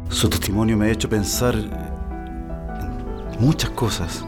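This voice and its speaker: A man with a Chilean accent acting in a radio drama as an earnest, moved witness, speaking in solemn, measured, declamatory phrases. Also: on the low side, steady tone, over music.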